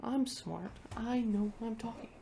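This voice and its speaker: Stuffy-nosed girl rambling into her webcam, kinda gravelly and mellow, keeps restarting her sentences. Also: on the high side, moderate pace. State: congested. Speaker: vlogger. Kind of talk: casual chat ranking things on a tier list.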